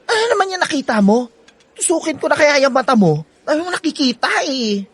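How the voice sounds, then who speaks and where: Gossipy Filipino younger sister: high, lively swings, exclamatory, exasperated, radio drama. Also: female voice, brisk, no room sound. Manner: chatty, exclamatory, slangy.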